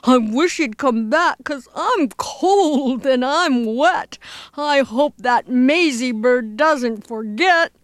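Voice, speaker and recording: A woman voicing a huge, gentle, faithful male elephant from a children's storybook, speaking earnestly and deliberately. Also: on the high side, expressive, dry recording, studio quality. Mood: grumbling.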